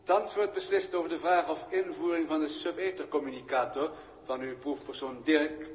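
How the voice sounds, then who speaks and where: A man playing a courteous, unflappable galactic bureaucrat in a 1960s radio drama, measured and detached. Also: on the high side, fairly even intonation, slight background noise, close to an everyday voice. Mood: polite, dry. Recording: old radio recording, long hall reverb.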